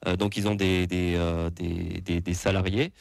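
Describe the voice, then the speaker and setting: A young man with a southwest French accent talks in a very low, deep voice on a football talk show. He hesitates constantly, stacking fillers and restarting his sentences. His voice sounds dry and close, with no room around it. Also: level tone, measured pace, creaky, darkish tone, no room sound. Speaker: supporters' association representative. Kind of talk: casual radio talk.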